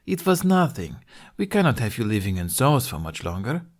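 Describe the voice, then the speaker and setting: Man putting on a high-voiced kindly widowed mother. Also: big pitch swings, normal speed. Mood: warm, breezy. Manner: gracious, lightly dismissive of thanks. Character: kindly short plump widowed mother, easily amused. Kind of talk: fan audiobook character voice.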